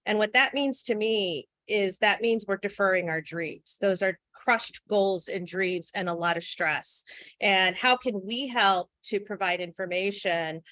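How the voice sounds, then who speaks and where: A woman's voice: mid-range voice, unhurried, a bit bright, no room sound. A woman in her forties giving an earnest, frank webinar talk, with many fillers. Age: 40s. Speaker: guest speaker. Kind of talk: webinar presentation.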